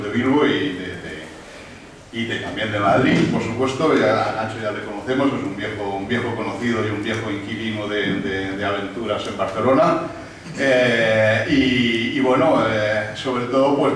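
Man, 50s, Catalan native, panel talk, halting with restarts, very echoey room, heard from a distance. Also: mid-range voice, slight background noise. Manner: measured, many pauses and restarts, fillers. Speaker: guest speaker. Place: room with large windows, panel table. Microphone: desk mic on stand, filmed from across room.

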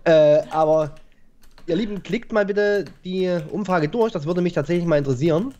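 A man's voice: high-pitched, normal speed, background noise. A man in his thirties hosting a live stream in a chatty, self-mocking way, talking straight to the viewers over a headset. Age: 30s. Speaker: host. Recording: dull lo-fi call audio.

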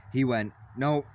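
A young man ranting loudly and rambling into his phone from inside a parked vehicle. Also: mid-range voice, dull audio, slight background noise. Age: young adult. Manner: loud, agitated, rambling rant. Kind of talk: ranting selfie vlog. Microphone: phone at arm's length.

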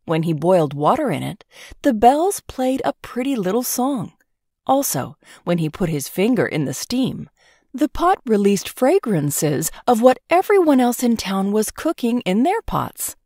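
A woman narrating a children's storybook in an expressive, scripted read-aloud style. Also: mid-range voice, dry recording, clean studio sound.

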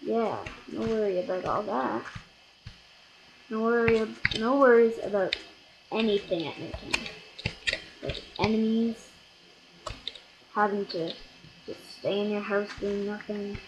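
A let's play gamer giving rambling, self-correcting commentary on a block-building game, with sudden excited outbursts, recorded at a home computer. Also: medium pitch, measured pace, pauses often, background noise. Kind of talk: casual gameplay commentary.

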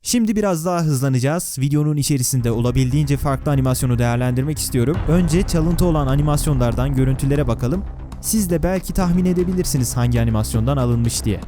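A man's voice: mid-range voice, quick pace, over music. A man narrating a video in a scripted but conversational way, with wry, sarcastic asides and questions to the viewer, recorded close on a voice-over microphone. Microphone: close, dry voice-over microphone.

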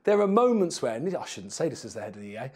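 A man's voice: medium pitch, sing-song, rapid-fire, darkish tone, breathy edge. An English man in his forties speaking earnestly and emphatically as an interview guest, firing off rhetorical questions, on a lapel mic. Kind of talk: animated interview talk. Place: small indoor studio room.

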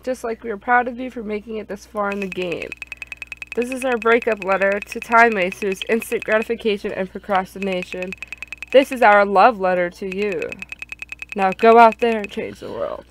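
A woman's voice: mid-range voice, normal speed, a bit bright, slight background noise, low-quality recording. A woman on a gaming panel, reading game text aloud and chatting casually.

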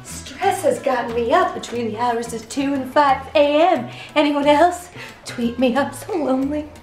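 A young woman with a fairly high voice leads a joking home tour, switching between chirpy lines and deadpan asides. She is recorded on a camera mic in a roomy apartment, with faint music under her. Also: moderate pace. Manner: deadpan, self-mocking, jumps between bright and flat. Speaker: vlogger. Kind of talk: comedic home tour vlog. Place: apartment, indoors. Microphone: camera mic, handheld and at distance.

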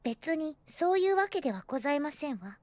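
A woman's voice: high voice, very animated, a little fast, a little mellow, no room sound. A woman playing a young girl in anime, cheeky and guarded, with affectedly ladylike, prim phrasing. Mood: guarded, frank. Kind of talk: anime drama dialogue.

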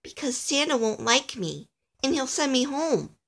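A woman's voice: on the high side, very animated, unhurried, a bit bright, husky, pitched above the performer's own voice, exaggerated voice. A timid little boy elf from a Christmas storybook , acted out by a woman. The voice is lifted and swoops with fretful, earnest exclamations. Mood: frightened, pleading. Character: small, timid, anxious young male Christmas elf.

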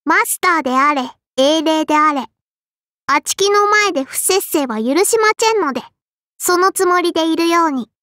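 A woman's voice: extremely high pitch, animated, moderate pace, pushed and bright, dry recording, clean studio sound, full character voice. A woman voicing a small, strict but kindly and dutiful sparrow girl for an anime game, in a childlike lisping voice with formal, cutesy phrasing. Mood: prim, admonishing. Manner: formal yet cutesy, chirping verbal tics. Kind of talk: Japanese anime game character lines.

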